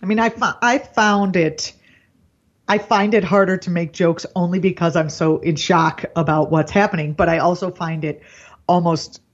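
A woman's voice: on the low side, fairly even intonation. Woman comedian guest, earnest and rambling, muffled lo-fi line. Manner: earnest, rambling, quick to laugh. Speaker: comedian and news anchor, guest. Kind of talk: casual political chat. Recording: dull lo-fi remote line.

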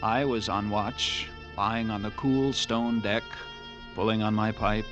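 An actor playing a lighthouse keeper narrating a sea tale in a measured, vivid, dramatic radio-drama style. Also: male voice, lowish voice, steady tone, over music. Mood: suspenseful. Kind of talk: classic radio drama first-person narration.

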